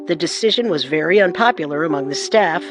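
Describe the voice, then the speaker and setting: Woman narrating with measured suspense. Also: lowish voice, expressive. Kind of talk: scripted dramatic narration. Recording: music bed beneath narration.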